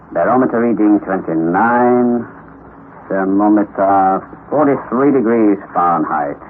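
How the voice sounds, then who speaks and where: An actor playing a fierce, excitable older uncle and explorer in an old radio drama, declaiming theatrically and giving commands. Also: male voice, lowish voice, narrow, tinny audio. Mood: brisk, methodical. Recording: thin, band-limited vintage radio recording, noisy.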